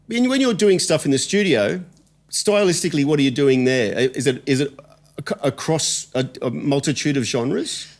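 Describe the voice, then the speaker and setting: A man hosts a relaxed music interview. His voice sits a touch high, and he restarts and rephrases his questions as he thinks aloud. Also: moderate pace. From Australia. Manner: chatty, rephrases questions mid-sentence. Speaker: podcast host. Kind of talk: casual interview.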